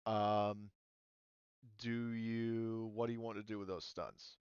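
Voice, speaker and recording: A man acting as game master, casually explaining a tabletop roleplaying game in a halting way. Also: on the low side, flat delivery, darkish tone, clean tone, dry recording, lo-fi sound.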